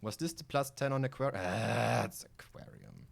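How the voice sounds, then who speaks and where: A man's voice: mid-range voice, moderate pace, creaky. A man from Germany with a German accent, a native German speaker, streaming a video game live with casual commentary, reading aloud and sweary, rambling asides.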